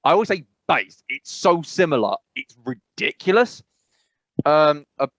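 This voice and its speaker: A man with an English accent co-hosting a podcast, rambling and animated, with emphatic repetitions. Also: on the high side, unhurried, no echo, lo-fi sound. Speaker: podcast co-host. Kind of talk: casual chat.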